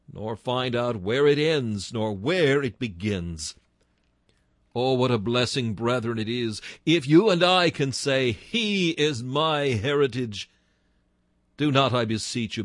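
Slow, dramatic male preacher. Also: mid-range voice, no echo, clean studio sound. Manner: slow, solemn and declamatory, swelling on exclamations and dropping to hushed tones. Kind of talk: Victorian-style sermon reading.

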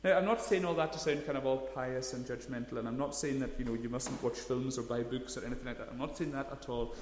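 A man's voice: mid-range voice, fast talker, slight background noise. A man, preaching a sermon in an earnest, emphatic way, leaning hard on key words. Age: 30s. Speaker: pastor. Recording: echoey hall, long reverb.

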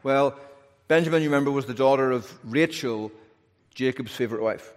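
Northern Irish pastor in a reverberant hall. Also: male voice, medium pitch, brisk. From Northern Ireland. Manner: conversational preaching with asides and humor. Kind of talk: sermon.

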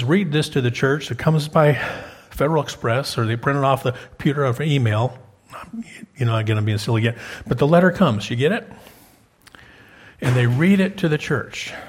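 A man's voice: medium pitch, slightly rough. Folksy male preacher, emphatic peaks. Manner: folksy, joking asides, rising to emphatic peaks on key words. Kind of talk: sermon.

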